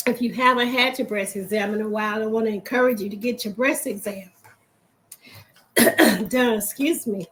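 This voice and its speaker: Hoarse woman speaking slowly. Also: a little high, slight background noise, rough recording. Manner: keeps clearing her throat; reflective, encouraging. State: hoarse, losing her voice. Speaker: host of a faith broadcast. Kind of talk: devotional broadcast talk.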